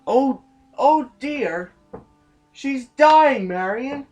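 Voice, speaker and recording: A woman's voice: high voice, animated, extremely slow, a little mellow, lo-fi sound, faint music behind, full character voice. A woman playing an earnest, urgent and emotional young leader in an audio drama. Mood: distressed. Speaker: voice actor.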